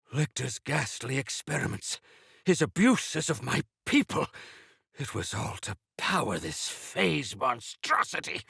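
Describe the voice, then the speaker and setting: An actor playing a spry, jovial yet fierce old rebel elder in a video game, with a gravelly voice and a grandiloquent, mischievous manner. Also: male voice, high voice, pushed and bright, dry recording, clean studio sound, full character voice. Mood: wry, grave. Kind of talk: theatrical video game character dialogue.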